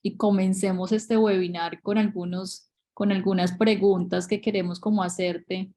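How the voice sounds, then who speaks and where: A woman's voice: medium pitch, little pitch movement, moderate pace, warm tone. A woman real estate agent co-hosting a webinar over a video call, searching for words and repeating herself.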